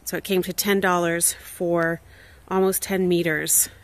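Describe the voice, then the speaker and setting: A woman's voice: fairly low pitch, flat delivery, measured pace, slight background noise. A woman showing a home project on her phone camera in an open garage, talking casually with many ums and restarts. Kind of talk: casual home video walkthrough. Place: open garage and driveway, outdoors. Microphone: phone camera held by the speaker.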